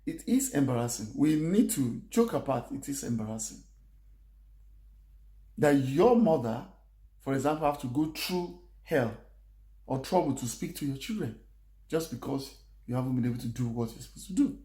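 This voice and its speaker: A man's voice: medium pitch, expressive, moderate pace, mellow. Earnest male language teacher, echoey room. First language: Yoruba. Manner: earnest, repetitive, encouraging. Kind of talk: spoken language lesson.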